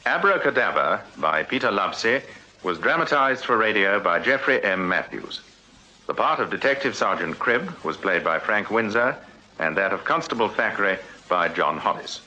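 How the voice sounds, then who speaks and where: A man's voice: on the low side, lively intonation, normal speed, sharp tone. A man with a British accent reading scripted credits as a radio announcer in a formal broadcast style. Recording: dull, lo-fi radio recording.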